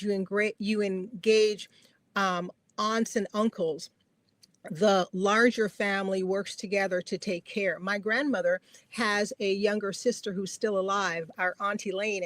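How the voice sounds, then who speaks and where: A woman's voice: medium pitch, no room sound. A middle-aged woman from Guyana speaks in a mid-range voice. She is earnest and thoughtful, leaning on repeated phrases to make her point. The sound is dry, with no room around it. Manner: earnest, reflective, with deliberate repetition for emphasis. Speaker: podcast guest, family caregiver. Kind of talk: reflective personal conversation.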